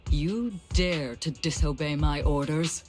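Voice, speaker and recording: A woman playing a composed, shrewd authority chief in a game cutscene, measured and coolly teasing. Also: low-pitched, over music. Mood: cool, testing. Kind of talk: dramatic game cutscene acting.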